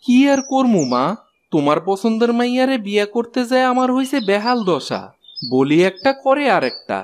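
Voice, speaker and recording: A woman's voice: fairly high pitch, very animated, normal speed, slight background noise. A woman playing an exasperated village farmer husband in a cartoon, sarcastic and complaining, with a rural Bangladeshi accent. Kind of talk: cartoon voice acting.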